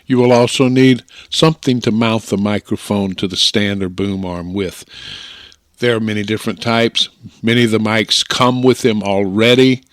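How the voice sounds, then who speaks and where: An American man narrating an instructional hobby slideshow in a plainspoken, folksy, deliberate way, close on a broadcast-style microphone. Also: on the low side, steady tone, dry recording. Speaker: amateur radio hobbyist presenter.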